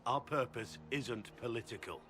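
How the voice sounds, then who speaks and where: A man's voice: mid-range voice, moderate pace, over music, natural voice. An actor playing a blunt, battle-hardened male warrior who commands recruits in a video game. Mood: wary, blunt. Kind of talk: video game dialogue acting.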